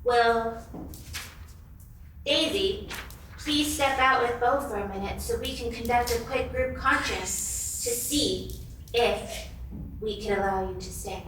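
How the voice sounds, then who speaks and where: A polite animal group leader, voiced by a woman, speaks in a measured, procedural way with a bright tone. The sound is distant and very echoey. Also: on the high side. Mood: polite, official. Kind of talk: amateur sock puppet show. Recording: echoey convention room, distant, faint noise.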